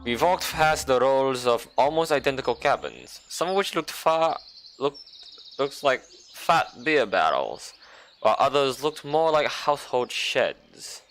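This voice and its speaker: A young man reading a visual novel aloud, with playful, sarcastic asides and mock-dramatic slowdowns. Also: medium pitch, animated, a bit bright. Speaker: game streamer.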